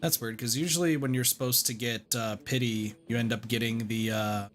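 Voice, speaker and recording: A man's voice: mid-range voice, fairly even intonation, normal speed. A man giving casual livestream commentary on a game, muttering to himself and swearing mildly. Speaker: game streamer.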